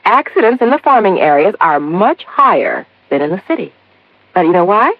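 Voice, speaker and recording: A woman playing a devoted, instructive American housewife in a 1950s radio public-service skit, explaining briskly and affectionately. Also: medium pitch, very animated, no echo. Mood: explanatory. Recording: dull vintage radio recording.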